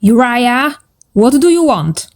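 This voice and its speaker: A woman's voice: a little high, very animated, takes it slowly, darkish tone. A woman voicing an exasperated young teenage schoolgirl in a comic dub, fretful and plaintive. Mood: weary. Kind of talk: fandub acting.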